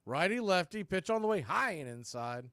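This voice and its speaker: A man calling baseball play-by-play as a radio announcer, in clipped, folksy phrases that range from quiet to excited. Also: sits high, normal speed, dry recording, studio quality. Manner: swings from hushed calls to excited shouts. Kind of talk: live radio play-by-play commentary.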